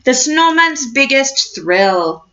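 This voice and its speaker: A woman with a fairly high, very lively voice reads a storybook to young children in a teacher's encouraging way. Her delivery is expressive and instructive, and the recording sounds slightly dull and lo-fi. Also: slow pace. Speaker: teacher reading a picture book aloud to young children. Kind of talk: storybook read-aloud with questions to a class.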